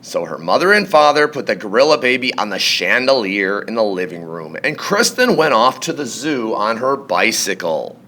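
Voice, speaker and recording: A man reading a children's story aloud in an expressive, theatrical way, drawing out key words for emphasis. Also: on the low side, moderate pace, few pauses. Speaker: storybook reader. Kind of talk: animated children's read-aloud narration.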